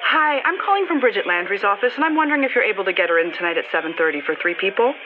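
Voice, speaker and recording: An actress playing a brazen, coaxing working mother in a naturalistic audio fiction, glib and quick to sweet-talk. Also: mid-range voice, expressive, narrow, tinny audio, lightly acted. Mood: sweet-talking bluff. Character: brazen, upbeat working mother bluffing her way through. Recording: noisy car scene with loud background.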